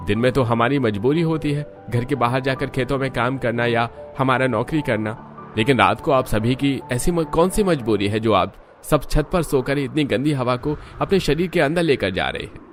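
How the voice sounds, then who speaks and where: A man's voice: medium pitch, moderate pace, faint music behind, close to an everyday voice. A man playing a concerned village doctor who explains things earnestly in a cartoon moral story.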